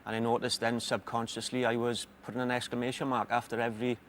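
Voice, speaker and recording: A man's voice: medium pitch, level tone, quick pace, fairly bright tone, slight background noise. A man in his late thirties from Wales, a quiz team captain chatting casually in a TV studio. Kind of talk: casual unscripted chat.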